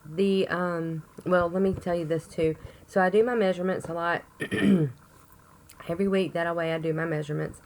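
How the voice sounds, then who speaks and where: A woman with a hoarse voice from a chest cold, rambling chattily to camera in a casual update video with plenty of ums. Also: on the low side, fairly even intonation, moderate pace, a little mellow, slight background noise. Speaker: vlogger.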